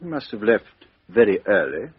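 An actor playing a methodical middle-aged police inspector, asking businesslike questions in a 1950s radio drama. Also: male voice, lowish voice, sing-song, moderate pace, slightly rough, no echo, full character voice. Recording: dull 1950s radio recording.

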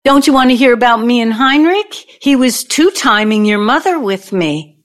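A woman's voice: on the high side, lively intonation, normal speed, close to an everyday voice. A woman playing a wounded, vengeful older ex-girlfriend in an audio play, speaking in a dramatic, pointed way. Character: bitter, wounded, vengeful ex-girlfriend from mogul's college days.

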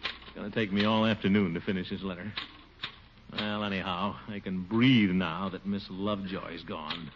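A man's voice: deep voice, steady tone, moderate pace, close to an everyday voice. An actor playing a pompous middle-aged man in a 1940s radio sitcom, grumbling in exasperated asides and bursting out indignantly. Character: blustery, pompous middle-aged man harried at his office. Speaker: title character of a radio sitcom. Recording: hissy, muffled 1940s radio broadcast.

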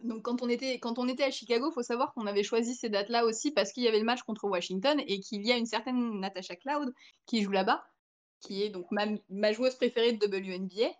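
A woman's voice: a little high, a little fast. A young French woman, a podcast guest, telling a long anecdote in casual chat with many fillers and restarts. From France. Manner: chatty storytelling with many fillers.

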